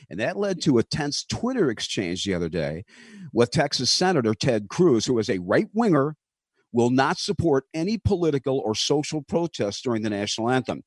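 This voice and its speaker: Man, sports talk host, lively swinging pitch, dry close studio sound, pushy questions. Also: breathy edge, no room sound. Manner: animated, pressing questions, reads news items. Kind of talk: sports talk radio discussion.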